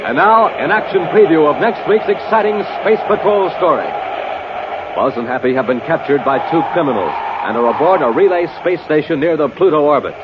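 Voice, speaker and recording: A man announcing and pitching in 1950s radio style, excited, emphatic and scripted for a hard sell. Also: a little fast. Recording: dull, faintly noisy 1950s radio recording.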